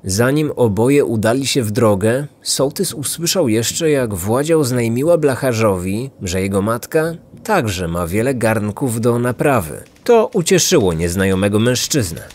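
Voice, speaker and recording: A man's voice: mid-range voice, big pitch swings, a little slow, hardly any pauses, a little mellow. A man hosting and narrating a true-crime story from a script. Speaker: podcast host, narrator. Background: background music.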